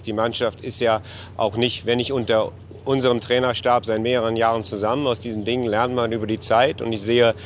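A man from Berlin, a basketball coach, answering interview questions with hesitant, halting phrasing. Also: medium pitch, slight background noise. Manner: hesitant, many fillers and restarts. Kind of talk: news interview.

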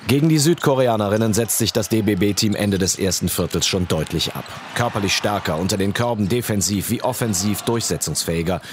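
Low-voiced male news voice-over. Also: a little hiss or hum. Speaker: news reporter.

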